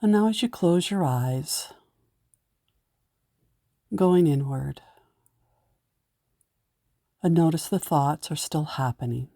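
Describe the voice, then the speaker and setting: Slow, low-voiced woman guiding meditation. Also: dry recording, studio quality. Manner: gentle, unhurried, soothing instruction. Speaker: meditation guide. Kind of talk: guided meditation narration.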